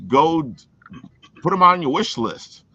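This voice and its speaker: A man's voice: on the high side, lively intonation, unhurried, bright, forceful tone, no room sound, highs cut off. A man hosting a podcast, chatting casually and enthusiastically as he plugs things to his audience. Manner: enthusiastic, plugging. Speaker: podcast host. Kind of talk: casual promotional chat.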